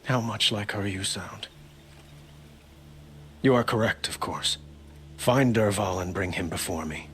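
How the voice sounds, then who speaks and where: A man's voice: on the low side, a bit bright, slight background noise. An actor playing a dignified young king in a video game, speaking formally and with grave restraint. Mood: solemn resolve. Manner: measured, formal, courteous. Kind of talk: dramatic video game voice acting.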